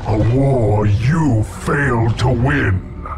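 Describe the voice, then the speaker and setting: An actor playing a menacing, contemptuous robot overlord in a game cutscene, gravelly and grandiose. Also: male voice, mid-range voice. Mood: furious. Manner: slow, grandiose, threatening. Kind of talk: video game cutscene acting. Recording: music clearly behind.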